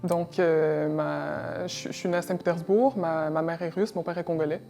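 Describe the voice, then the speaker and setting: A young woman with a low, mellow voice answers interview questions slowly and hesitantly, stopping often on fillers and repeating words. She grew up in Russia. Soft music plays behind her. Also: fairly even intonation. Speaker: interview guest, environmental technician.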